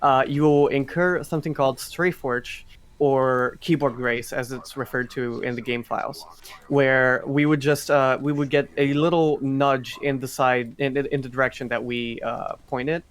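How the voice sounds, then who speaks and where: A man's voice: medium pitch, steady tone, normal speed, smooth. A man giving explanatory live commentary on a speedrun. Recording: faint background noise, live event stream.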